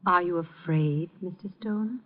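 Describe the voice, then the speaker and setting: A woman's voice: fairly low pitch, unhurried. Cool, haughty woman, muffled vintage radio. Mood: haughty, daring. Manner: clipped, challenging, controlled. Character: cool, haughty, guarded woman under suspicion. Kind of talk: 1950s radio drama acting.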